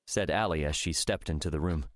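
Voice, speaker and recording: A man narrating a scripted fiction audiobook in a measured storytelling manner. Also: rumbling low.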